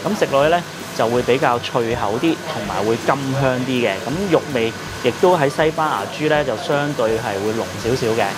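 A man's voice: medium pitch, a little fast, few pauses, a crowd in the background, poor audio. A middle-aged man with a Hong Kong Cantonese accent, giving a chatty, explanatory food review in a casual vlog style. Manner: chatty, explanatory, frequent sentence particles. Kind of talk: casual restaurant review narration.

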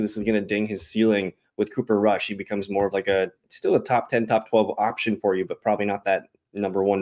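A man's voice: lowish voice, a little mellow. A man in his thirties hosting a sports show, casually analyzing and reading out stats in a matter-of-fact, conversational way. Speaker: fantasy football show host.

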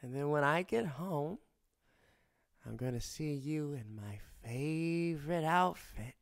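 A man's voice: fairly high pitch, expressive, takes it slowly, gravelly, full character voice. A man playing a cocky, teasing fire-wielding boyfriend. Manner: teasing, playful, drops to close whispers. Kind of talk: ASMR boyfriend roleplay.

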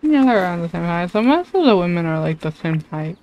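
A woman's voice: fairly low pitch, sing-song, a little fast, muffled recording. A woman livestreamer chatting casually and informally, rambling and thinking aloud as she plays. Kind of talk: casual game stream chat. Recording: game music behind the voice. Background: video game music.

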